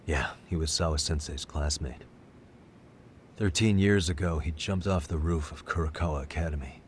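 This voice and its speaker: A man playing a serious, steady, plain-spoken detective in an English game dub. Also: extremely low pitch, normal speed, a little hiss or hum. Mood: serious, focused. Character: serious, steady male detective working a case. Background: background music.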